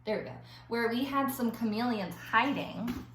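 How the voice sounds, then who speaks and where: A woman schoolteacher reading aloud to young children and explaining an activity in simple, clear phrases. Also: fairly high pitch, normal speed, a bit bright. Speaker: elementary school teacher. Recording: echoey room with faint background noise.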